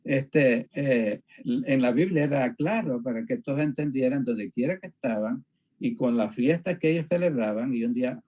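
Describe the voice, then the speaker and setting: A man from Panama, explaining reflectively in conversation, haltingly and repeating phrases for emphasis. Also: mid-range voice, dark tone, muffled recording. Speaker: discussion partner on a religious show.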